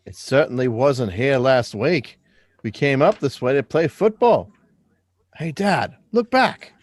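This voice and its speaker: A man's voice: medium pitch, expressive, a little slow, dry recording. A man reading the part of an observant, insistent schoolboy in a radio play, with an earnest manner. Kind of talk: live radio drama script reading.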